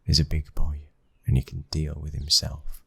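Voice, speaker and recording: A man with a British accent acting as a brooding, protective young mafia boss's son in an intimate ASMR boyfriend roleplay, speaking in a husky, hushed, intense way that often nears a whisper. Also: very deep voice, completely flat delivery, normal speed, no room sound, exaggerated voice. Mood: firm, protective.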